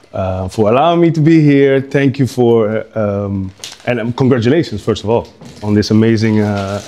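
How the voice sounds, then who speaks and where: A man's voice: mid-range voice, darkish tone. Hesitant man giving a rambling artist talk. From Curaçao. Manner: rambling, hesitant, many fillers and restarts. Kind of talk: informal artist talk to an audience.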